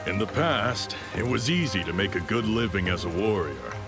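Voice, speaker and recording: A man playing a frank, weary veteran mercenary in a dramatic video game, speaking in an earnest, measured way. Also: on the low side, animated. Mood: earnest, wry. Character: weary, plain-spoken veteran mercenary warrior seeking new allies. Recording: loud music and rain behind.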